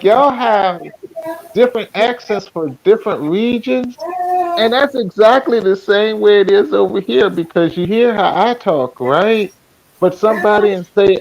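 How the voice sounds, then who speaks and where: A man's voice: high-pitched, poor audio. A man, hosting a casual live chat stream in an easygoing, folksy way. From Virginia, USA.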